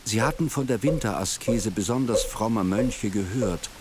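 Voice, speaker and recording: A man narrates a literary radio drama in a measured, descriptive storytelling manner. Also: fairly low pitch, crowd noise. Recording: music behind the narration. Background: music.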